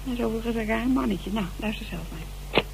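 A woman's voice: a little high, brisk, vocal fry. A woman playing an eager assistant investigator in a radio drama, speaking colloquially and emphatically. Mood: eager, pleased. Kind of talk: naturalistic Dutch radio drama dialogue. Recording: noisy radio-play recording.

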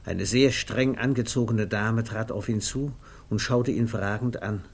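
A man narrating a literary 1950s radio play in a measured, deliberate, storytelling way. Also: on the low side, gravelly, slightly rough. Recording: faintly noisy vintage radio recording.

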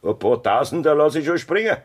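An actor with a Bavarian accent playing a cruel, scheming farmer husband in a 1970s radio play, with a gravelly, husky voice. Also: male voice, fairly high pitch, lively intonation, brisk. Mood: menacing.

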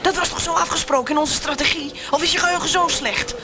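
A woman's voice: high-pitched, steady tone, fast talker, over music, close to an everyday voice. A woman playing a tough, crude, sarcastic interrogator in a radio drama, biting and abusive toward colleagues. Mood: sardonic, biting.

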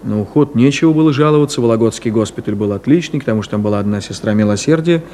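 A man's voice: medium pitch, very fast, darkish tone, a little hiss or hum, close to an everyday voice. An actor playing a cynical, detached middle-aged man in a 1970s Soviet radio play, with an ironic, clipped theatrical delivery. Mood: defensive, irritated.

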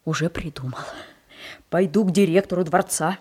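A woman's voice: fairly low pitch, normal speed, mellow, breathy edge. A woman playing an earnest, stubborn schoolboy in a Soviet children's radio play, talking in an insistent, conspiratorial way. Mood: secretive, determined.

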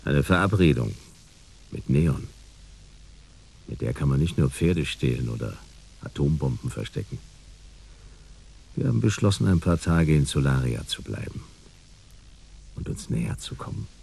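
Male detective narrator, extremely low, creaky, dark and mellow, laconic, wry, radio drama, faint noise. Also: expressive, moderate pace, long pauses, heavily acted. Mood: smug, relaxed. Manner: dry, wry, laid-back. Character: laconic hard-boiled detective telling his own case.

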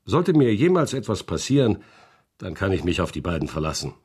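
A man playing an earnest middle-aged farmer and family man with a husky voice, in an audio drama. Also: low voice, very animated, fairly quick, a little mellow, exaggerated voice. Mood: solemn, grateful.

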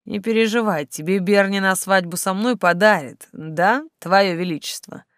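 A woman's voice: medium pitch, normal speed, no echo, studio quality, natural voice. A woman voicing a roguish, rueful young male agent in an audiobook. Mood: teasing. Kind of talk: audiobook character acting.

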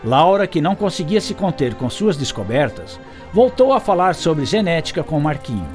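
A man's voice: on the high side, very animated, normal speed, soft background music. A man playing the narrator of a radio serial, reading scripted storytelling narration.

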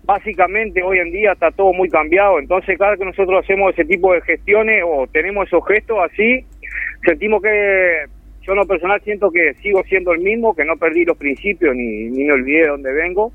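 A man's voice: high voice, fairly quick, dry recording. A man with a Uruguayan accent talking earnestly and at length as a guest calling in to a radio interview. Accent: Uruguayan Spanish. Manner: earnest, heartfelt, rambling. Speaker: phone-in guest, charity volunteer. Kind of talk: casual radio interview over the phone. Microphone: telephone. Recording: tinny dry phone line.

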